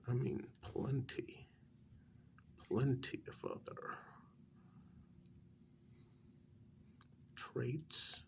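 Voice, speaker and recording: A performer softly playing a patient, probing official in an ASMR role-play, speaking in a measured, gently reproachful half-whisper. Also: mid-range voice, a little hiss or hum. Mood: measured, sympathetic. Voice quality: soft, breathy half-whisper. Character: patient, probing official questioning a renegade vampire.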